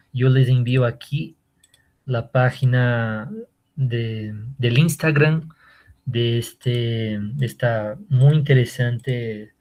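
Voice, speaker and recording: Guy hosting an academic workshop, really slow talker, medium pitch, hesitant, keeps adding tag questions. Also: a little mellow. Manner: hesitant, often seeks agreement with tag questions. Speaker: workshop host, moderator. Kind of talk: informal introduction of a guest.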